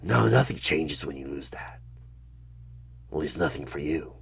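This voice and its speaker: A man voicing a sly, coaxing demon recruiter in a husky whisper for a horror ASMR audio roleplay. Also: rumbling low, animated, heavily acted. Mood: smooth salesman persuasion. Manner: slow, drawn-out, insinuating, persuasive.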